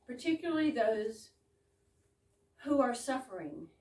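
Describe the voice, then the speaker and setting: Female evening host, higher-pitched, theatrical with chatty asides, recorded from far off with some noise. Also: very animated, a little slow, a little mellow. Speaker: host welcoming an audience to a readers theater evening. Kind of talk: live welcome speech. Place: room in a private home with a small audience. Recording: echoey room, distant mic, background noise.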